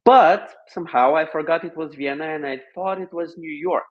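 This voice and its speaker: A Bulgarian-accented man with a fairly high voice talks as a guest in an informal conversation. He phrases things thoughtfully and haltingly in a second language, and the recording sounds a bit dull and lo-fi. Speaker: podcast guest. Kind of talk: casual conversation.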